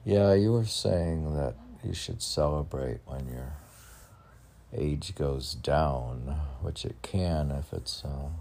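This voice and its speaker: A man casually reading poetry and chatting about it in a halting, offhand way. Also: extremely low pitch, vocal fry, warm tone.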